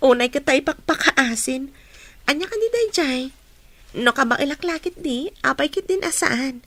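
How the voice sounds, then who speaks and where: Woman acting a high, bright young village daughter. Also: expressive, measured pace, long pauses, no room sound, full character voice. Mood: teasing. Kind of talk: Ilocano radio drama acting.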